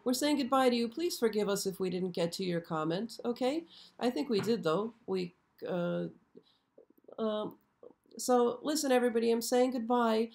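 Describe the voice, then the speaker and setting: A hoarse woman hosting a casual live stream, chatty and often checking in with her viewers. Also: fairly high pitch, moderate pace, a little mellow. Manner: chatty, frequently checks in with "okay?". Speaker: show host. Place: show set.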